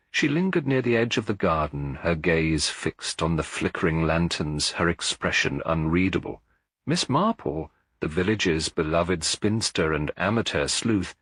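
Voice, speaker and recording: A man narrating a detective story as a scripted audiobook, in a measured, formal storytelling manner with a husky voice. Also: sits low, a bit bright, no room sound.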